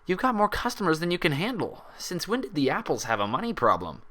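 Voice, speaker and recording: Storybook mare patient, played by a man: pitched up, young, anxious and frustrated, full of hesitant questions. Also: huge pitch swings, fast, sharp tone, full character voice. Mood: disbelieving.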